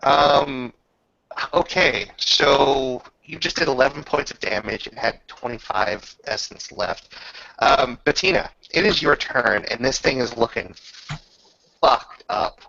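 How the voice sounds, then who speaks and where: A man with a slow, fry-edged delivery runs a tabletop game. He moves between casual rules calls and emphatic, dramatic narration. The sound is dry and a little lo-fi. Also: medium pitch, lively intonation, bright, forceful tone, no room sound. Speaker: game master of a tabletop roleplaying session.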